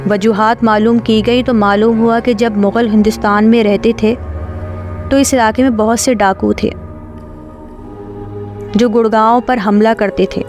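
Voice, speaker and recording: A woman's voice: on the high side, steady tone, measured pace, pauses often, a little mellow. A woman reading a scripted horror story as its narrator. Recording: clear music bed under voice.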